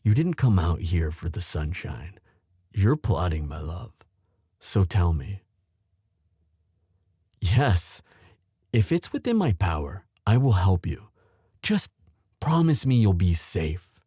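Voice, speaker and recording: Husky, expressive young prince acted by a man. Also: deep voice, no room sound, clean studio sound, exaggerated voice. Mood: tender, resigned. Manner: earnest, halting, tender delivery. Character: anxious, protective young crown prince in love. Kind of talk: romantic roleplay audio drama.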